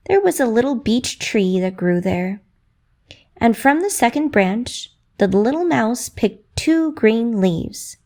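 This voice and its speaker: Slow, very animated woman storyteller. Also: fairly high pitch. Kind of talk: children's bedtime story narration.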